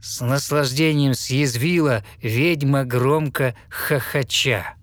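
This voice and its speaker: A man narrating a fairy tale in verse, dramatic and measured, pausing expressively between short phrases. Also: mid-range voice. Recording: studio narration over music. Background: music.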